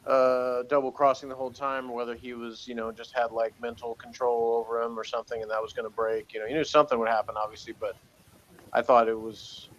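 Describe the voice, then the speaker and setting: A man with a medium-pitched voice talks casually as a review co-host. He thinks aloud, circling back as he goes, and adds dry little jokes. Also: flat delivery, fast talker, muffled recording. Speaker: co-host giving review opinions.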